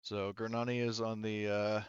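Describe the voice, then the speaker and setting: A man running a tabletop game as game master, casually talking through rules in a halting, thinking-aloud way. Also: on the low side, flat delivery, clean tone, no room sound, lo-fi sound.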